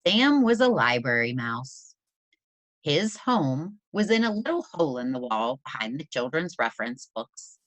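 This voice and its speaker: Low-pitched woman reading a storybook, very animated. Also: no echo. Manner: deliberate, expressive storytelling for young children. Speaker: teacher reading aloud to students. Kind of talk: expressive children's storybook read-aloud.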